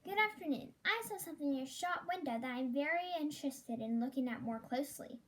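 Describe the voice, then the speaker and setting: A woman's voice: sits high, lively intonation, fast. A girl of about ten playing an earnest, determined young married woman in a school radio play. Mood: eager. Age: child of about ten.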